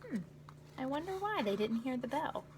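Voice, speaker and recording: A woman's voice: high voice, big pitch swings, normal speed, slight background noise. A woman reading a children's storybook aloud as a teacher, expressively, with little asides to young listeners about the pictures.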